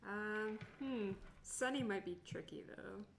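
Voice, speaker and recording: A woman's voice: medium pitch, slow, vocal fry. A woman chatting casually as a player in a tabletop roleplaying game. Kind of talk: casual group chat. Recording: echoey room with noisy background.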